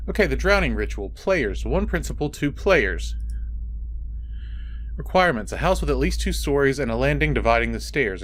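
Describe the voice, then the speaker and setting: A man hosts a horror storytime, reading aloud with a very expressive, moving pitch. His manner is informal and prone to blunt, casual asides. There is some noise behind his voice. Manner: informal, sweary reactions breaking into the reading.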